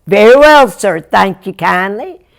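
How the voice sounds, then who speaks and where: A woman's voice: medium pitch, lively intonation, a little slow, gravelly, voice pushed up. Woman doing an earnest farm boy. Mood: earnest, humble. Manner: drawling backwoods talk, humble and polite.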